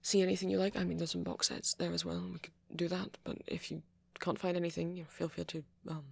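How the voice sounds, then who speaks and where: A man playing a shy, apologetic young vampire in an ASMR roleplay, with a posh British accent and a hesitant, stammering, soft-spoken way of talking. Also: high-pitched, little pitch movement, fast, gravelly, dry recording, heavily acted. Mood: apologetic, nervous. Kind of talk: intimate ASMR roleplay audio.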